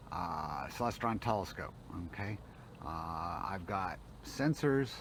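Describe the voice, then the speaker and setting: A man with a fairly low voice walks through a hobby technical demonstration. He is plain-spoken and informal, narrating each step as he does it. Some noise sits behind his voice in what sounds like a homemade recording. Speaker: hobbyist demonstrating a homemade telescope automation setup. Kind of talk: informal hands-on technical demo. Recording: noticeable background noise, homemade video.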